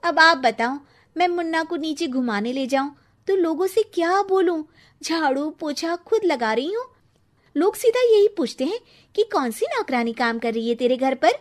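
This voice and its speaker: A woman voicing a young, modern Indian daughter-in-law in a Hindi cartoon story, pleading and quick to protest. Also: high voice, lively intonation. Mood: pleading explanation.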